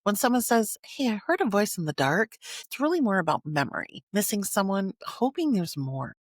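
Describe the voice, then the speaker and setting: A woman podcast host delivering scripted narration in an earnest way, with short dramatic phrases and emphatic pauses. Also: medium pitch, big pitch swings, no echo, studio quality.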